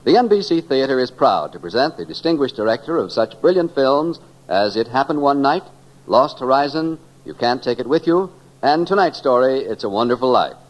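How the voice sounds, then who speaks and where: A man's voice: medium pitch, big pitch swings, moderate pace, poor audio. A man with an American accent announcing a radio program in a grand, formal 1940s style.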